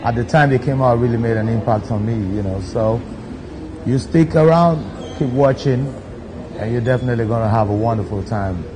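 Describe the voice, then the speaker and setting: A Nigerian man in his thirties talks to camera from a studio armchair, leaning on "you know" between thoughts. His voice sits at an ordinary male pitch, unhurried and easygoing. A steady background noise runs under the recording. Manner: laid-back, frequent "you know" fillers. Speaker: DJ hosting his own video countdown. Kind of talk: relaxed seated talk to camera. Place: studio set, seated in armchair.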